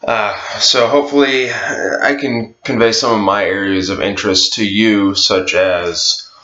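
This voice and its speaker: A young man introduces himself as a tutor, earnest and a little halting, with plenty of ums and uhs. His voice sits very low. The webcam audio is dull and lo-fi, with echo from a bare bedroom. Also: fairly even intonation, sharp tone. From Atlanta, Georgia. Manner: earnest, halting with frequent fillers. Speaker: online English tutor. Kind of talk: self-introduction to camera. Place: small bedroom, indoors. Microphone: webcam at desk.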